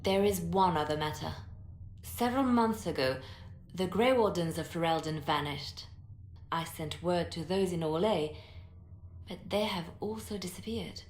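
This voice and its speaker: A composed woman spymaster from a fantasy game, voiced by an actress. She speaks in a somewhat low voice, guarded, grave and deliberate. The recording is echoey, with some noise behind it. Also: a little mellow, close to an everyday voice. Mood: quietly concerned. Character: composed, secretive woman spymaster, quietly watchful. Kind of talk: video game character acting.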